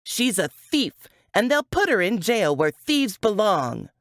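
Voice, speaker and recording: A spiteful young woman from an audiobook, acted out by a woman narrator, raised in pitch and smirking as she taunts and accuses. Her delivery is indignant and swings up and down, recorded close and dry. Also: very animated, slow pace, no room sound. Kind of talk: audiobook character acting.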